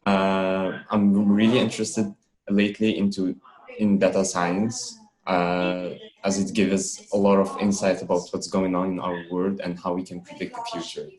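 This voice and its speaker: A twenty-year-old man from Algeria on a video call panel, talking in quick bursts with frequent "uh" fillers. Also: sits low, level tone, darkish tone, poor audio. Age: 20s. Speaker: student panelist.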